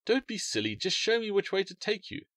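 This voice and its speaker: A man's voice: sits high, sing-song, a little fast, fairly bright tone, dry recording, pitched above the performer's own voice. A man voicing an earnest, dreamy young girl who bosses her little brother and fusses over a hurt fairy. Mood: soothing.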